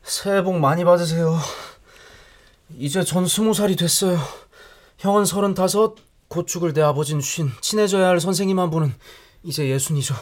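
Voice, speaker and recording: A man's voice: sits high, moderate pace, no echo, lightly acted. An actor playing a brooding nineteen-year-old man who is losing his sight and resents his father. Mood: resigned. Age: about 19, turning 20. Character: young man going blind, bitter toward his father. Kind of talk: introspective drama narration and dialogue.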